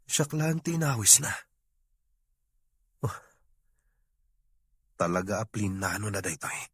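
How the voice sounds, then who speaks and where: A man playing a tipsy, easily rattled young man in an audio drama, with a husky voice. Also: lowish voice, lively intonation, a little slow, a bit bright, no echo, heavily acted. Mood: confused, alarmed.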